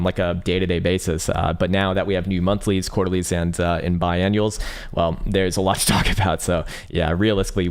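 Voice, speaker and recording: Male livestream host: deep, raspy and tired, talks fast, rambles and swears. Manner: rambling, profane, with frequent fillers and restarts; reads viewer chat aloud. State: tired, short on sleep. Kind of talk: casual livestream chart analysis.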